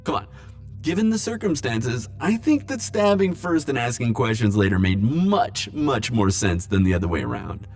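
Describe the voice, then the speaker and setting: A man playing a lovesick, world-weary immortal in an intimate romantic audio roleplay, speaking haltingly and emotionally. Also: mid-range voice, sing-song, soft background music, close to an everyday voice. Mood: wry, flirtatious. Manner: halting, emotional, stammering confessions with soft wry asides.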